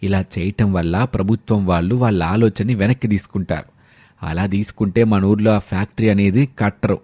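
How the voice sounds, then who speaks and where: Man acting family elder, father-in-law, cartoon story, shrewd, instructive, measured, dark dry voice. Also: lowish voice, no echo, close to an everyday voice. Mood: instructing.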